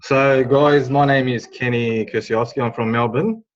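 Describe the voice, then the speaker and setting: Relaxed man on a muffled call. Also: mid-range voice, unhurried. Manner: relaxed, hesitant with fillers. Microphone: video call. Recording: muffled video call audio.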